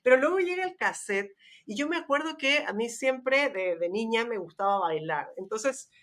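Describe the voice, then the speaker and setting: A woman's voice: a little high, animated, moderate pace, a bit bright. A middle-aged woman in casual, nostalgic conversation, telling stories chattily with questioning tags and little acted-out sounds. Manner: chatty storyteller, tags phrases with questions, acts out small sounds. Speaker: podcast host.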